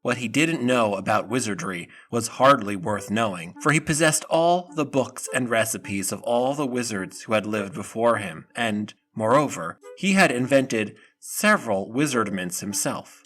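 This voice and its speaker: A man's voice: on the low side, expressive, a little slow, few pauses, fairly bright tone. A man narrating a scripted audio drama in the manner of a storybook reading.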